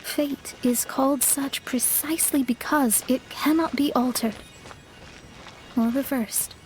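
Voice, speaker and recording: A woman playing an earnest, wistful young astrologer in a video game, speaking in a measured, theatrical way. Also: high-pitched, rough recording. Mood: solemn, resolute. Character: earnest young female astrologer, proud, wistful believer in fate. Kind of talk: dramatic video game dialogue. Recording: game music behind the voice. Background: background music.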